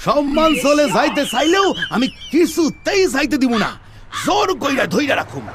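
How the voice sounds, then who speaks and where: A man's voice: very high-pitched, very animated, moderate pace, very noisy. A man playing a cheeky, stingy husband in a comic cartoon, theatrical and wheedling, with an East Bengali accent. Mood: blustering. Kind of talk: exaggerated comic cartoon acting. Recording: music behind the voice.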